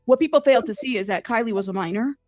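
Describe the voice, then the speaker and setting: A woman's voice: on the high side, fast talker, darkish tone, no room sound, clean studio sound, faint music behind. A woman conducting a casual interview, earnest and opinionated in the way she talks.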